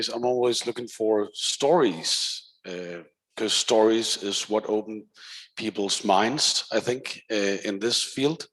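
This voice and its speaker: Danish male consultant, lowish voice, loose intro. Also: rough recording. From Denmark. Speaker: arts and health consultant. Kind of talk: roundtable self-introduction.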